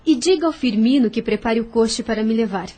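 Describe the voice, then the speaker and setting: Actress as a breathy, gentle young mother. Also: fairly high pitch, a little fast, close to an everyday voice. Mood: eager. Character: gentle, naive young mother and wealthy wife. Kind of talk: audio drama acting.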